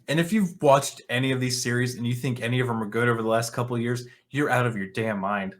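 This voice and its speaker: A man with a medium-pitched voice hosts an opinionated commentary show. He moves between reading written articles and giving his own reactions. His manner is blunt and scornful, with sarcasm and casual swearing. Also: fast. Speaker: online commentary show host.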